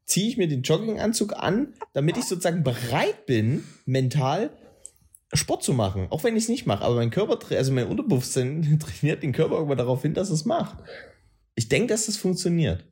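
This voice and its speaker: A man's voice: on the high side, very animated, brisk, darkish tone, breathy edge. A man with a Saxon German accent chatting casually on a podcast, rambling, correcting himself and using blunt slang. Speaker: podcast co-host. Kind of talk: casual chat.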